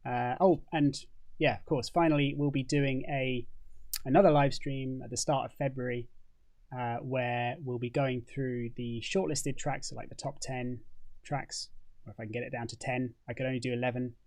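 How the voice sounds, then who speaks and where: A British man hosting a relaxed livestream, talking casually into a condenser mic with plenty of fillers and restarts. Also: medium pitch, moderate pace. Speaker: host.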